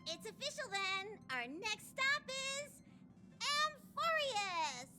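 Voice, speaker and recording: A woman voicing a small, cute, excitable conductor creature in a game, squeaky and childlike, announcing things proudly. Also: takes it slowly, full character voice. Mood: excited, proud. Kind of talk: anime-style game voice acting. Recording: loud music behind the voice.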